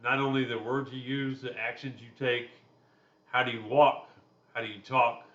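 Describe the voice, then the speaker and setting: Deep-voiced man lecturing casually. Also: steady tone, normal speed, a bit bright, roomy sound, lo-fi sound. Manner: conversational, loose, personal asides. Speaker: instructor. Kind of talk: recorded lecture video.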